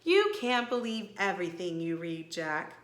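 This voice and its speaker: A woman voicing a calm, gracious old enchantress in a children's storybook, speaking in a measured, precise way. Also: lowish voice, roomy sound.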